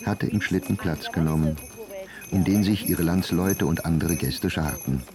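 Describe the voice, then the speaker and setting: A man's voice: sits low, normal speed, crowd noise. A man reading scripted literary narration for a radio play.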